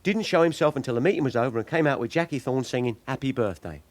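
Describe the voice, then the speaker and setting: An actor playing a guarded small-time police informant who haggles for money in a British radio crime drama. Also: male voice, mid-range voice, expressive, quick pace, no echo, lightly acted. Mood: confiding. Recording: clear background ambience noise.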